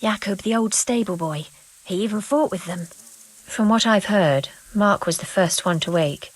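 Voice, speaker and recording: A woman's voice: medium pitch, moderate pace, no room sound, lightly acted, low-quality recording. A woman playing a helpful, matter-of-fact villager in a video game cutscene. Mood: helpful, calm. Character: helpful, measured village woman giving advice.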